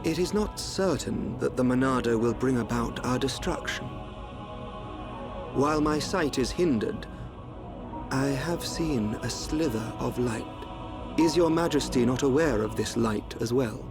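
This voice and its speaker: An actor playing a grave, cryptic royal seer who speaks in a solemn, portentous way in a fantasy game. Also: male voice, mid-range voice, unhurried, lightly acted. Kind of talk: formal fantasy game drama. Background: loud background music.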